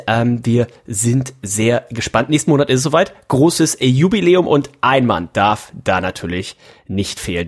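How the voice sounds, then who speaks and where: Heiserer Mann, lockerer Podcast-Plauderton. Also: male voice. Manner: chatty, with lively pitch jumps. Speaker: podcast host. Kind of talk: casual chat.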